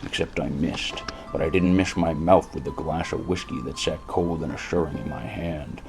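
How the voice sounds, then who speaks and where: An American actor playing a world-weary, cynical, hard-drinking detective who narrates in a brooding, deadpan, gravelly noir style. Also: male voice, extremely low pitch, normal speed, music in the background. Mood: wry, sardonic. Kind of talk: scripted first-person hardboiled noir narration.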